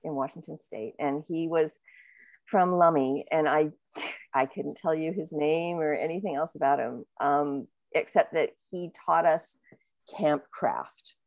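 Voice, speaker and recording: A woman in casual conversation, recalling her experiences in a reflective, measured way. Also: low-pitched, a little mellow, no echo.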